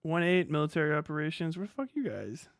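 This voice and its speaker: A man's voice: fairly high pitch, very animated, fast, creaky, no echo, clean studio sound. A middle-aged man giving casual, rambling reaction commentary as a livestream host, full of slang and swearing. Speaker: livestream host, former Marine.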